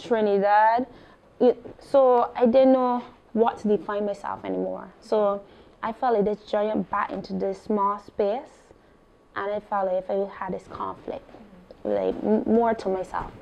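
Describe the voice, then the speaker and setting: A woman's voice: medium pitch, a little slow, gravelly, highs cut off. A young woman from Barbados with a Barbadian accent, speaking into a handheld mic as the guest at a live artist talk in a small gallery. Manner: animated, expressive storytelling.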